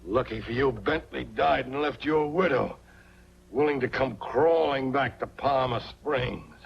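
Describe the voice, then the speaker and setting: An actor playing a cantankerous, domineering old rich grandfather with a creaky voice, in 1940s film style with an American accent. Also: mid-range voice, measured pace. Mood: grumbling. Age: older. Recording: dull, noisy 1940s film soundtrack with hum.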